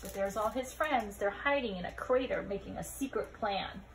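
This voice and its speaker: A woman reading a children's picture book aloud expressively, pausing to put questions to young listeners. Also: medium pitch, a little hiss or hum, rough recording. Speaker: read-aloud storyteller.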